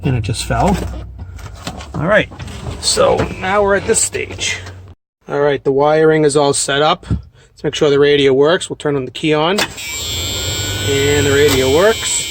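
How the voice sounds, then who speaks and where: A trucker talks through a hands-on job step by step. He is a man with a somewhat high-pitched voice and a casual, matter-of-fact delivery. The recording is made in a truck cab, with light background noise and clicks. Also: a little slow, pauses often. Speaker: trucker and vlog host.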